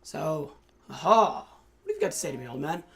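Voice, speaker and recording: A hoarse young man in college hosting a casual, excitable let's-play commentary with lots of fillers. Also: on the high side, fairly quick. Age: young adult. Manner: excitable, chatty, many fillers. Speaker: gaming channel host.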